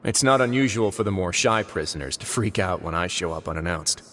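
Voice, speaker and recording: An actor voicing a composed adult prison warden in a video game, speaking in a calm, measured, thoughtful way. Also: male voice, sits low, a little hiss or hum. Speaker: game character. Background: faint game music.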